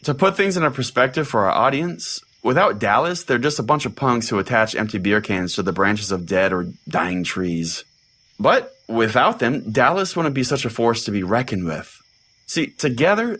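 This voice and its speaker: A man playing a deadpan, dejected small-town pastor who hosts a comedic fiction podcast in a rambling, exasperated conversational way. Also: medium pitch, moderate pace, slightly rough. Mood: storytelling. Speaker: podcast host.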